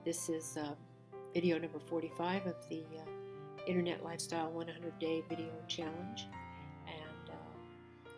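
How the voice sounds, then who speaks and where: A woman's voice: deep voice, lively intonation, a little slow, music in the background. A woman with a cold who is losing her voice, giving a hesitant, casual video intro with many fillers. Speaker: video blogger.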